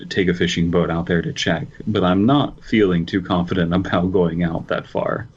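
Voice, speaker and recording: A man's voice: sits low, steady tone, normal speed, slightly rough, highs cut off. A man co-hosting a casual podcast, joking around and reading story text aloud. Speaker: podcast co-host.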